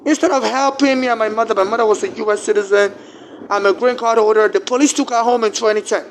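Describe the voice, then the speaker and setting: A man with a Nigerian accent, whose first language is not English, tells his story in a fairly high voice. He stumbles, repeats words and restarts his sentences as he goes. Steady traffic noise runs loud behind him. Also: fast talker. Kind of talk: personal grievance account.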